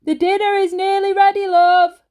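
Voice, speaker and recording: A woman voicing a busy dad in a children's story, calling out loudly as if to someone upstairs. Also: very high voice, little pitch movement, normal speed, pitched above the performer's own voice. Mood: shouting. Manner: loud calling from a distance. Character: busy dad shouting up from downstairs.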